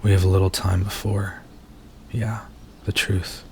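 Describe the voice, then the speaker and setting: A man playing a nervous, earnest werewolf in an intimate ASMR roleplay, speaking softly and haltingly as he confides in his date. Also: sits low, little pitch movement, creaky, slight background noise. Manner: soft-spoken, hesitant, halting, earnest.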